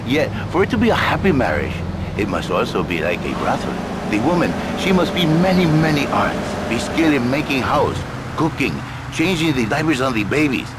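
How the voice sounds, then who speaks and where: A man's voice: medium pitch, very animated, brisk, natural voice. Male actor as grandiose Latin marriage guru, swooping melodramatic delivery, pompous, video game radio, heavy car noise. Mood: preaching, theatrical. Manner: pompous, melodramatic, broken English. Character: flamboyant, vain Latin self-styled marriage guru, sleazy and grandiose. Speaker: talk radio guest. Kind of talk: satirical radio talk show acting. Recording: loud traffic and car noise behind.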